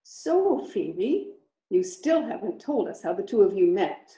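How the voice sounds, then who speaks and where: Actress as an echoey, mellow society hostess. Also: female voice, medium pitch, sing-song, a little slow. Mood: charmed. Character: gracious, curious Edwardian society hostess. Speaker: radio play actor. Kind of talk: radio drama acting. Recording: echoey room over video call.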